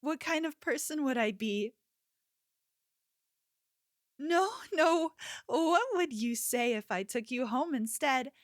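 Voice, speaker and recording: A woman's voice: high voice, animated, takes it slowly, pauses often, dry recording, studio quality. A woman playing an obsessive, possessive young yandere in an ASMR roleplay, speaking intimately and coaxingly, like a lover with menace underneath. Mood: sweetly menacing.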